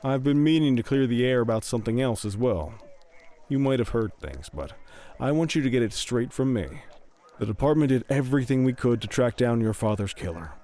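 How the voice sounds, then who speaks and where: An actor playing a stern, fatherly police captain in a video game drama, speaking in a measured, authoritative, world-weary way. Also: mid-range voice, lively intonation, warm tone, natural voice. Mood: grave, paternal. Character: stern, paternal male police captain, middle-aged or older. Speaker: police chief addressing officers.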